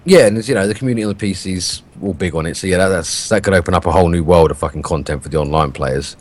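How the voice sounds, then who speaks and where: A British man co-hosting a gaming podcast, swearing a lot and arguing his point in a self-described monotone. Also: sits low, racing. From Britain. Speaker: podcast co-host. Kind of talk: casual gaming podcast chat.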